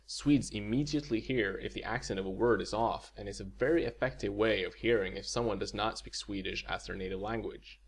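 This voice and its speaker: A man teaching in a scripted video lecture, explaining clearly and saying example words for listeners to repeat. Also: lowish voice, brisk, hardly any pauses, a little hiss or hum. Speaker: language teacher.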